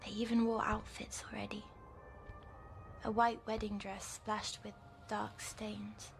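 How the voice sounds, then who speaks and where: A woman playing a timid, troubled young girl patient in an audio drama, weary yet defiant, with a halting way of speaking. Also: medium pitch, level tone, gravelly, faint music behind. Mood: hushed, dreamy. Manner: slow, halting, hushed when recounting dreams.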